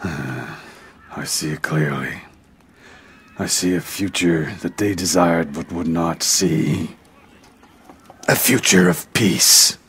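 An actor playing a solemn, enduring middle-aged samurai lord in a dramatic English game dub, speaking in a weighty, deliberate way. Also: male voice, deep voice, fairly bright tone, a little hiss or hum, heavily acted. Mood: grieving, solemn. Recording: dramatic music behind the voice.

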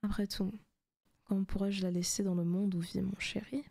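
A woman playing a seductive, possessive demon in a soft, teasing ASMR roleplay, often slipping into whispers. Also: medium pitch, flat delivery, moderate pace, vocal fry. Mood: possessive, sweet. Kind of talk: ASMR roleplay, intimate scripted monologue.

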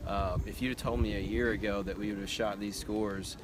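Soft-spoken male coach outdoors, low voice. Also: steady tone, over music. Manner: modest, halting, many fillers and restarts. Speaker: college golf head coach. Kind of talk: postmatch interview. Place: outdoors on a golf course.

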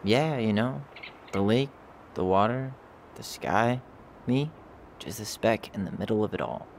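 A man's voice: fairly low pitch, unhurried, slight background noise. An actor playing a wry, nostalgic young American man in naturalistic, casual video game dialogue. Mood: calm, reflective. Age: young adult.

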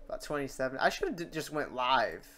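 A man hosting an off-the-cuff software tutorial, informal and rambling, muttering to himself as he works. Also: medium pitch, very animated, fast talker. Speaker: tutorial host.

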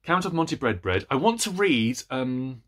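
A man's voice: mid-range voice, big pitch swings, moderate pace, a little hiss or hum. A man in his thirties chatting casually about books to camera, hesitant and rambling, often breaking off mid-sentence. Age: 30s. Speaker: book reviewer vlogger. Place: small indoor room with bookshelves.

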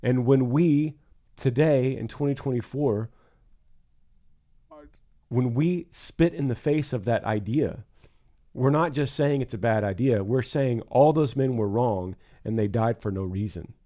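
A man's voice: medium pitch, measured pace, dark tone. A man co-hosting a podcast, talking in an earnest, emphatic and reflective way. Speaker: podcast co-host. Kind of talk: casual podcast talk.

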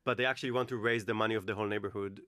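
Fast-talking man hosting a wry chat. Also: lowish voice, fairly even intonation, a crowd in the background. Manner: quick, wry, jokey interjections. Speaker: podcast host. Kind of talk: casual group chat. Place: small wood-panelled studio. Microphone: table mic on stand.

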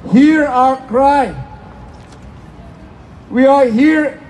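A man's voice: very high-pitched, extremely slow, darkish tone, a little hiss or hum, rough recording. A man from Indonesia with an Indonesian accent, speaking broken English in a fervent, preacherly rally speech and prayer outdoors in a city plaza. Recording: phone video of an outdoor rally.

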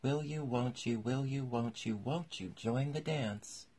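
A man's voice: mid-range voice, a little slow, darkish tone, husky, voice pushed up. A man voicing a melancholy, sentimental turtle creature from a storybook, solemn and mournful in manner. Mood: solemn, sad.

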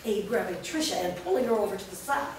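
A woman's voice: mid-range voice, animated, quick pace. Distant, echoing woman reading stage directions. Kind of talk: scripted narration at a staged reading. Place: bare studio stage room, indoors. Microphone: far camera. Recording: very echoey room, distant mic, faint noise.

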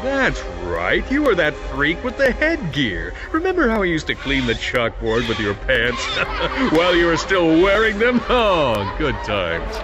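Blustering, animated superhero oaf. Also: male voice, fairly high pitch, unhurried. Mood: mocking. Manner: blustering, jovially mocking. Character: big, boastful, dim-witted superhero bully. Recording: music under the voice.

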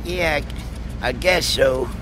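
A man voicing a goofy, naive rusty tow truck in an animated game, with a gravelly, twangy Southern US accent. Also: on the high side, takes it slowly, low-quality recording. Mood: nervous rambling. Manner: rambling, naive. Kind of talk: animated video game voice acting. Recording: music behind.

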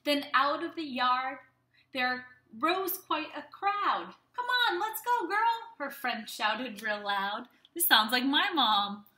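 Bright, high-voiced young woman reading to children. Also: takes it slowly. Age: young adult. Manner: animated, expressive, addressing children directly. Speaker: children's teacher reading aloud. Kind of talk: storybook read-aloud for young children. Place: small room at home. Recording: roomy, echoey home webcam sound.

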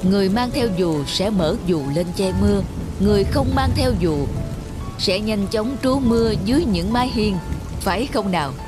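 Người nữ lồng tiếng người cha thông thái, nhạc nền rõ. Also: female voice, medium pitch, lively intonation, lightly acted. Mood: patient, instructive. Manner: measured, instructive. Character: patient, wise village father and teacher, gently moralizing. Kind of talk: cartoon dialogue, moral storytelling. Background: music.

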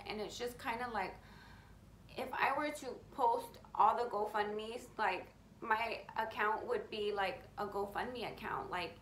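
A young woman with a deep, raspy voice, talking openly to camera from her bedroom in a casual, rambling way with lots of "you know". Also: fairly bright tone, reverberant, a little hiss or hum, rough recording. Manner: open, rambling, heartfelt, many fillers like "you know". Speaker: beauty influencer. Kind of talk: candid sit-down vlog to camera. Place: bedroom at home, indoors. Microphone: camera in front at close range.

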